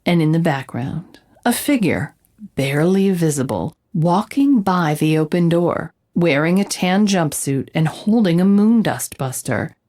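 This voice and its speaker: A woman playing a bold reporter, narrating a mystery in a measured, suspenseful storytelling style. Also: lowish voice, expressive, darkish tone. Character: bold female holo-caster reporter recounting a mystery. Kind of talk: scripted dramatic narration over music. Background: prominent music.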